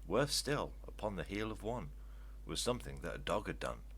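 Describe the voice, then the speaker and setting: An older man reads rhyming verse aloud as children's storytelling, in an expressive, emphatic way. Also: low voice, moderate pace, husky. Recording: steady background noise with hum.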